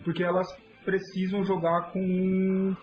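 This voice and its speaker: A man's voice: high voice, monotone, takes it slowly, dull audio, soft background music. A man from Santa Catarina, Brazil, hosting a podcast and asking long, friendly, rambling questions. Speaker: podcast host. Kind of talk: interview questions.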